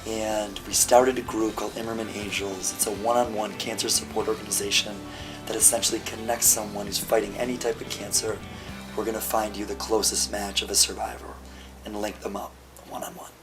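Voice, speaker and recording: A man in his early thirties with a fairly low, flat voice explains his organization in a plain, direct manner. Music plays clearly beneath him. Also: a little fast. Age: 30s. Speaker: founder of a support group. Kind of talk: introductory testimonial.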